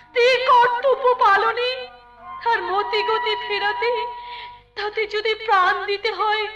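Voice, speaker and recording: An actress playing a self-sacrificing, anguished wife in Bengali folk theatre, declaiming slowly and tearfully. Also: female voice, very high-pitched, little pitch movement. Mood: tearful resolve. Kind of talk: Bengali folk theatre (jatra) stage acting. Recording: dull stage recording over music.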